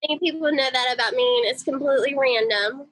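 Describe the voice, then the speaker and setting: Woman, high girly voice, enthusiastic storyteller, podcast guest, dry close sound. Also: fast, fairly bright tone, no echo. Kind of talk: casual interview conversation.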